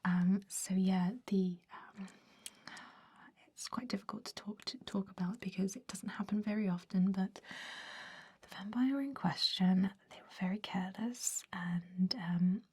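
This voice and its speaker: A woman voicing a centuries-old vampire job interviewer in a breathy whisper, chatty and confiding, in an ASMR roleplay. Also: mid-range voice, fairly even intonation, a little slow, darkish tone. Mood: gossipy, confiding. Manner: gossipy, confiding, hesitant rambling. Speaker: human resources interviewer.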